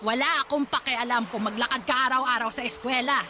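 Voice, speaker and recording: A woman as a high-voiced cruel old grandmother. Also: fairly even intonation, fast talker, noisy background, natural voice. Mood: angry, nagging. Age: older. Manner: scolding, sanctimonious, nagging. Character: cruel, pious, stingy old grandmother, scolding harshly. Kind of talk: Tagalog radio drama acting.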